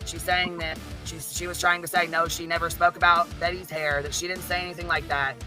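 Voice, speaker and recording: A man's voice: on the high side, little pitch movement, brisk, sharp tone, faint music behind. A man arguing in an informal, heated way, blunt and emphatic, with constant swearing.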